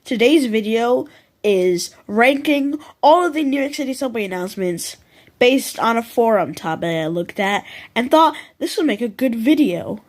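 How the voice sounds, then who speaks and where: A woman giving casual, rambling vlog commentary in an informal, unsure and self-deprecating way. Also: medium pitch. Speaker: vlogger ranking subway announcements.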